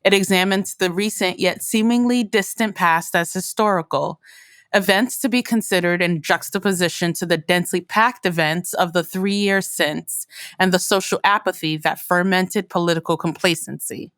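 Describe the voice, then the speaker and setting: A woman host reading scripted narration in a measured, deliberate way. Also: lowish voice, fairly bright tone, no echo, studio quality. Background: music bed.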